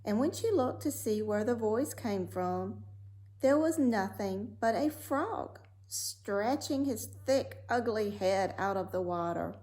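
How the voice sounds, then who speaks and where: A woman reading a fairy tale aloud as a storyteller, with measured, dramatic delivery. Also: medium pitch, animated, a little mellow.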